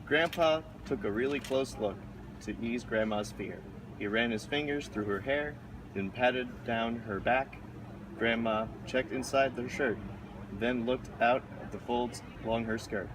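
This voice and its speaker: A man's voice: medium pitch, a little slow. A man reading a children's picture book aloud, recorded outdoors. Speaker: storybook reader. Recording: noisy outdoor recording with traffic.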